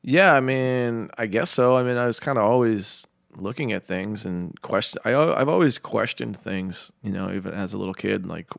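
A man from Boston, a singer-songwriter guest, talking casually and haltingly with many fillers and restarts. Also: fairly low pitch, expressive, vocal fry, a bit bright, no room sound, clean studio sound. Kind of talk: casual interview chat.

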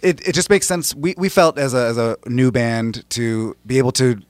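A man's voice: mid-range voice, dry recording. A man from the Boston area, a band's frontman, answering interview questions casually with lots of fillers. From Boston area, US East Coast. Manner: quick, chatty, with many fillers. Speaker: band frontman, guest.

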